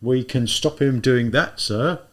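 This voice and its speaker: A man's voice: mid-range voice, unhurried, fairly bright tone, close to an everyday voice. A man doing a fussy, pitying old policeman. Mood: fretful pity. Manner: fretful, clucking pity.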